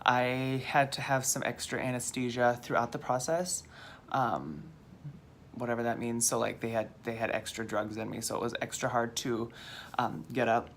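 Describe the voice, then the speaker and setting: A man from Minnesota with a fairly high-pitched, slightly hoarse voice, telling a rambling, self-deprecating story on his vlog. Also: fairly even intonation, normal speed, a bit bright, slight background noise. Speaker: vlogger.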